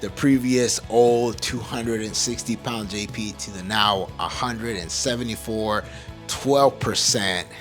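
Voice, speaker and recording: A man's voice: on the low side, a little slow, soft background music. A man from El Salvador hosting a podcast, speaking casually and motivationally in an earnest, emphatic way. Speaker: podcast host.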